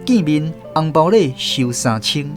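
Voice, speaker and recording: A man's voice: a little high, a little slow, faint music behind. A man, host and narrator, reading a story in scripted narration from a radio studio. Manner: steady storytelling.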